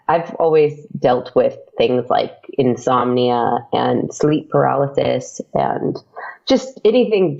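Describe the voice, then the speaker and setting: A woman's voice: low-pitched, vocal fry, a little mellow, highs cut off. A woman speaking casually as an interview guest, thinking aloud in a hesitant way. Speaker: interview guest, writer and podcaster.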